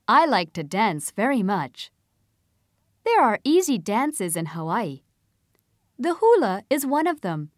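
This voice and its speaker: A woman reading a scripted passage aloud for a listening test, with careful, clearly spaced delivery into a close studio mic. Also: on the high side, no echo.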